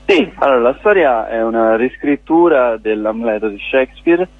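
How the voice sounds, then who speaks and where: A young man in his twenties, a playwright and director, explaining his work over a telephone line, thoughtful and halting with restarts. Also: medium pitch, expressive. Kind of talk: phone interview.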